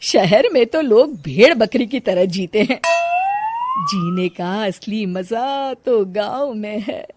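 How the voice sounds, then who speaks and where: A woman's voice: a little high, expressive, a little mellow, slight background noise. A woman voicing a middle-aged, dramatic village-bred mother-in-law in a family story cartoon, complaining and exclaiming as she goes. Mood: weary complaining. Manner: complaining, exclamatory, drawn-out delivery.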